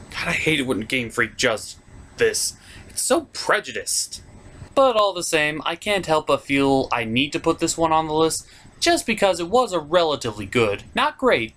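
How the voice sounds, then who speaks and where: A man's voice: on the high side, a bit bright. A man hosting a top ten list, giving casual, opinionated commentary with wry, informal asides.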